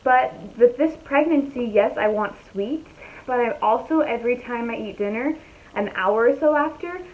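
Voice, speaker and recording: A young woman talking casually to her viewers from home, her voice slightly raspy and hoarse, chatty and easygoing as she thinks aloud. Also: a little high, moderate pace, phone-quality sound. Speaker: vlogger. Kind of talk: casual chat to camera. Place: at home, indoors.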